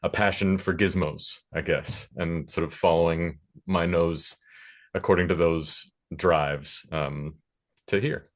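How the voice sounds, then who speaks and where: A man's voice: sits low, fairly even intonation, slow. A man speaking casually as a panelist about his working life as a sound engineer and teacher. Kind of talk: casual panel talk about own career.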